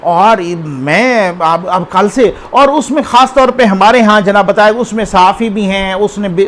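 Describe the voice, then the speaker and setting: High-pitched Pakistani man, informal news commentary. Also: slight background noise. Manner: conversational, addresses the viewer with rhetorical questions and check-ins.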